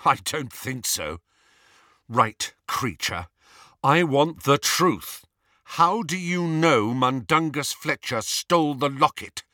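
A man's voice: medium pitch, expressive, slow pace, dry recording, clean studio sound, pitched above the performer's own voice. A man voicing an impatient young male wizard who fires off firm, demanding questions. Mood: firm questioning. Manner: forceful, demanding. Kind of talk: audiobook character voice.

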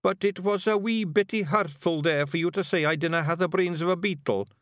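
A man voicing a slow-witted, earnest male fairy thief with a Scottish accent and a mild, wounded politeness. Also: sits high, fairly bright tone, no room sound, clean studio sound, voice pushed up. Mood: hurt.

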